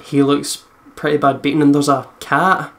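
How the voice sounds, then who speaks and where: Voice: medium pitch, little pitch movement, moderate pace, a bit bright. A let's play host commentating casually on a game in an informal, sweary way.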